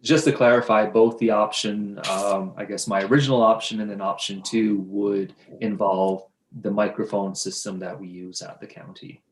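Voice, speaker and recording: Low-voiced man explaining options, roomy echo. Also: steady tone, normal speed, hardly any pauses, a little hiss or hum. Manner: explanatory, with frequent restarts and hedging. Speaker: township staff member presenting options to council. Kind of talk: council meeting presentation. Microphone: video conference mic.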